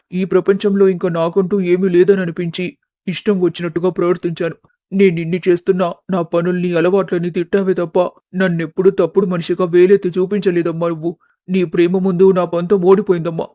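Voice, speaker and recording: A man's voice: sits high, level tone, fairly quick, mellow, no room sound, close to an everyday voice. A man playing a repentant grown son in a family story, speaking earnestly and respectfully. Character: repentant grown son, devoted younger brother.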